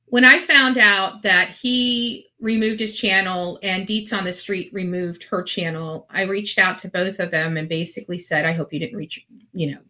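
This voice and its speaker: A woman's voice: medium pitch, pushed and bright. A woman host talking casually and ramblingly to her online followers, earnest and emphatic, often repeating phrases for effect. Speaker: online video channel host.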